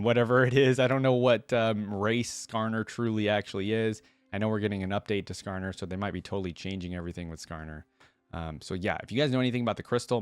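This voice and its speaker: A man's voice: fairly low pitch, no room sound. A man hosting a reaction channel in an informal, enthusiastic way, reading story text aloud and commenting on it. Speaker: host of a game lore reaction channel.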